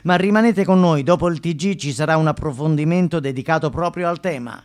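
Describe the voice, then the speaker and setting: A man's voice: a little high, brisk, darkish tone, lightly acted. A man playing a bumbling, upbeat TV news anchor in a stage monologue, announcing emphatically with repeats and stumbles. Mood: bright, eager. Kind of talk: mock TV newscast in a stage monologue.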